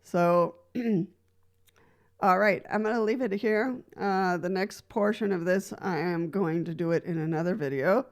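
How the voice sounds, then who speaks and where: A woman's voice: lowish voice, a little slow. A woman from Costa Rica talking casually to camera, giving practical advice from her own experience. She laughs lightly between points and often restarts with "so". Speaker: wheelchair user giving advice. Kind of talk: casual explanatory vlog talk.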